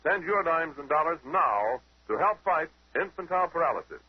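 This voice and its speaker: A man's voice: fairly high pitch, animated, moderate pace, very noisy. A man delivering earnest, formal announcements as a 1940s radio announcer. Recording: muffled dry old-time radio broadcast.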